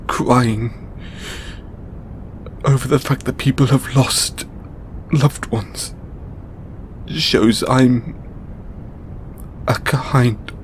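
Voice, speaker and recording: A man acts out a kind god worn down by responsibility. He speaks slowly and haltingly, with earnest, pleading feeling in an intimate roleplay monologue. A faint rumbling noise sits behind the voice. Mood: weary, tearful. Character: kind, overburdened male god, anguished and benevolent. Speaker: audio roleplay voice actor.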